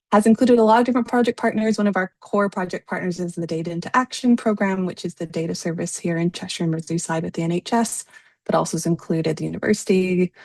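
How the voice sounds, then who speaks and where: A woman research fellow introducing her work on a webinar panel, speaking in a clear, organised and fluent way. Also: lowish voice, darkish tone, no room sound. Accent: non-Liverpool English. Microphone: video call.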